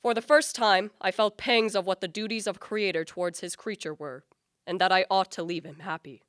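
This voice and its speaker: A woman's voice: mid-range voice, steady tone, moderate pace, no room sound, studio quality, lightly acted. A woman acting a haughty, guilt-ridden male scientist in a radio play, with formal, declamatory literary speech. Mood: heavy-hearted. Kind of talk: dramatic radio play acting with first-person narration.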